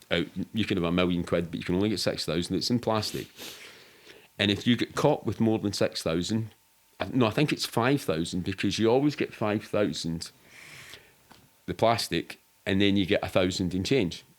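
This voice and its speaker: Low-voiced Scottish man telling rambling stories. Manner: rambling, sweary, matter-of-fact. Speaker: podcast guest. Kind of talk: casual storytelling in an interview.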